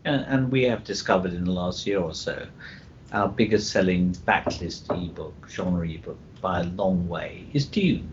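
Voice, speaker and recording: British male panel guest, on the deep side, tentative and qualifying, caught on a dull live feed with background noise. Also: expressive, moderate pace. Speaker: publishing executive, panel guest. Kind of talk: conversational panel discussion. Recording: muffled, noisy live hotel internet-call recording.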